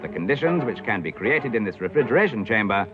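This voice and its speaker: A British man delivers scripted 1950s educational film narration. His delivery is formal and declamatory, with lively rises and falls at a measured pace. The sound is a muffled vintage film track, with music clearly playing underneath. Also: lowish voice. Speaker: documentary narrator. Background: clear background music.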